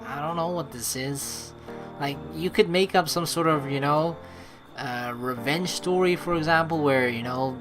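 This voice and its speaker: A woman commentating over a game as a let's play host, rambling and swearing casually. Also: a bass voice. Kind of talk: game commentary mixed with reading dialogue aloud.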